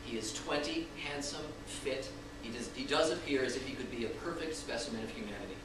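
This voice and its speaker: A man's voice: mid-range voice, fairly quick. A man narrating the stage directions of a script at a live reading, in scripted present-tense prose. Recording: echoey room, distant mic, noisy.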